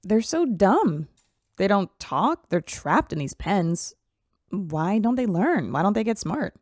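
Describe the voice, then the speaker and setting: A woman's voice: mid-range voice, big pitch swings, takes it slowly, a little mellow, no echo, studio quality. An actress voicing a newly made computer girl who is curious and blunt, in an audio drama.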